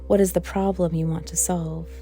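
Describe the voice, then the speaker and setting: A woman leading a guided meditation for worship, speaking in a gentle, reflective manner with unhurried, pausing phrases. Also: fairly low pitch, level tone, soft background music. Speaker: worship leader guiding a meditation.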